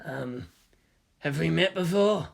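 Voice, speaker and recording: A woman's voice: deep voice, expressive, takes it slowly, gravelly, heavily acted. A woman voicing a wary, hostile male spy with a terse, scornful manner. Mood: puzzled. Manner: terse, scornful, hissing through teeth.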